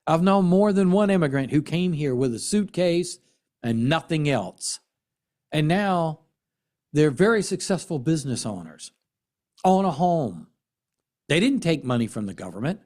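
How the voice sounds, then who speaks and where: A middle-aged man with an American accent, delivering an impassioned commentary monologue in an earnest, emphatic way with dramatic pauses and repetition. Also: on the high side, lively intonation, darkish tone. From United States. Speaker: political commentary host and military veteran.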